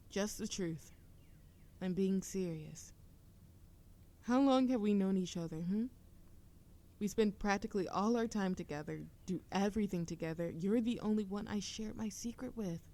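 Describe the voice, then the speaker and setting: A woman's voice: mid-range voice, mellow, close to an everyday voice. A woman playing a playful young witch smitten with a childhood friend, teasing and affectionate in an intimate romantic audio roleplay. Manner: teasing, affectionate, breathy laughs and whispers.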